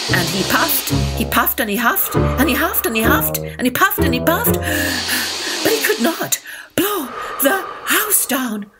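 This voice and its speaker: Woman telling a kids story, really animated up and down voice, music under it. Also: high voice. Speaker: storyteller and podcast host. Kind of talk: scripted children's storytelling narration.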